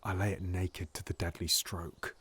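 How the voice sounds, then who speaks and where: A man playing a scheming, silver-tongued nobleman in a Shakespearean audio drama, theatrical and ranging between hushed pleading and loud exultation. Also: low voice, level tone, normal speed, breathy edge, exaggerated voice. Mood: ardent pleading.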